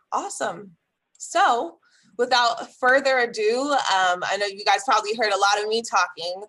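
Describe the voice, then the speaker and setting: A woman hosts an online career info session over a video call. Her voice sounds bright, at a middle pitch, and she speaks casually with a few ums. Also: measured pace, low-quality recording. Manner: casual, hesitant with fillers. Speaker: career center host.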